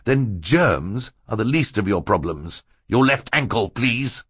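A man voicing a stern, slow-witted gentleman in a storybook, measured and businesslike in manner. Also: fairly low pitch, animated, husky, dry recording, clean studio sound, pitched above the performer's own voice, heavily acted. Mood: stern, firm.

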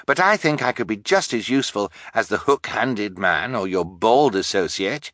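A man's voice: on the low side, expressive, normal speed, a bit bright, dry recording, clean studio sound. Man playing an earnest, insistent sideshow man. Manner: insistent, pleading. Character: earnest, insistent male carnival freak, eager to be useful.

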